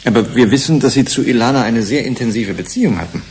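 An actor playing a persistent commission investigator in a German radio drama, questioning with deliberate emphasis. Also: male voice, mid-range voice, lively intonation, darkish tone, close to an everyday voice. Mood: accusing, probing. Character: male UN commission investigator, probing, measured, persistent. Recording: faint background noise.

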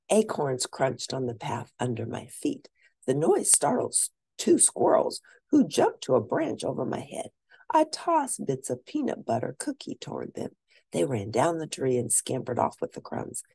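A woman reading a children's book aloud as an expressive storyteller, stressing and stretching words for drama. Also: rumbling low, gravelly, breathy edge. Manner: animated, dramatic emphasis with drawn-out words.

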